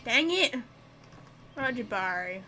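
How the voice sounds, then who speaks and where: High-voiced woman chatting through a game. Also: big pitch swings, slow pace, bright, forceful tone, a little hiss or hum. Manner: chatty, reacting to the game and talking to herself. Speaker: gaming streamer. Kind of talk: casual playthrough commentary.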